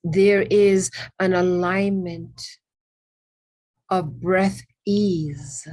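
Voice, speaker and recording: A woman leading a spiritual guided meditation in a gentle, soothing, reverent manner, with long pauses between short phrases. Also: lowish voice, steady tone, poor audio. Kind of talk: guided meditation with ASMR elements.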